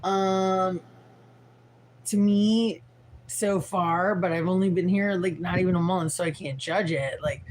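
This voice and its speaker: A tired woman in her forties with a raspy voice, rambling frankly and swearing as she chats on a livestream. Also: lowish voice, fairly even intonation, a little slow, darkish tone, a little hiss or hum, poor audio. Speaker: livestream host. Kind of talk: casual livestream chat.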